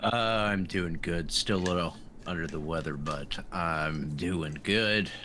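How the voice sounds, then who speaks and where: A man's voice: sits low, measured pace. A man chatting casually and wryly as a player on a tabletop game show. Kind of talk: casual chat. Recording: faint background noise.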